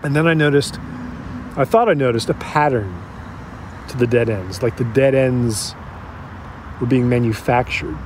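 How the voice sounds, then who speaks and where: An actor playing a regretful man confiding in an interviewer, in a halting, reflective, conversational way for an audio drama. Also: mid-range voice, a little mellow, slight background noise, natural voice. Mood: reflective, frank.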